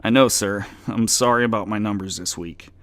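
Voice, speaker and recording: A man's voice: fairly low pitch, fairly quick, fairly bright tone. A man voicing a meek, frightened office underling who is nervous, pleading and anxiously deferential.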